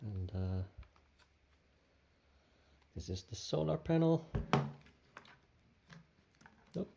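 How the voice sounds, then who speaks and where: A man gives a casual hands-on product commentary in a soft-edged, mellow voice with lively swings in pitch. He thinks aloud in short, tentative phrases and takes his time between them. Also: very slow, creaky, a little hiss or hum. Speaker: product reviewer. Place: indoor room with tiled floor.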